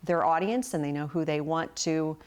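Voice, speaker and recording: A woman's voice: deep voice, moderate pace, darkish tone, smooth. An outspoken American woman, a talk radio host, speaking on air and in interviews.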